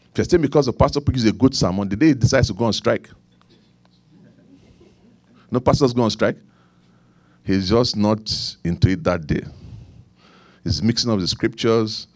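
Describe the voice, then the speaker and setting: A middle-aged man with a Nigerian accent preaching a sermon, repeating key lines, leaning into emphatic points and then dropping low for quiet asides. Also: no room sound. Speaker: pastor.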